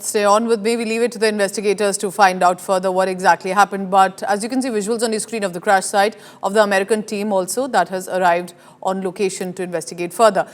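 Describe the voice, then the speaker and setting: A woman with an Indian accent presenting live news as an anchor, emphatic and explanatory in delivery. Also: mid-range voice.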